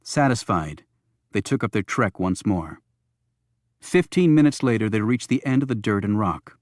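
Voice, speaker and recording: Low-voiced male audiobook narrator, close and dry. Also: expressive, normal speed, darkish tone, dry recording. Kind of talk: scripted thriller audiobook narration.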